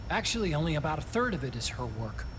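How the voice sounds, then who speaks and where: An actor playing an earnest, thoughtful scientist with an American accent, explaining things gently in a video game drama. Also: male voice, on the high side, sing-song, quick pace, noisy background. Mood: reflective.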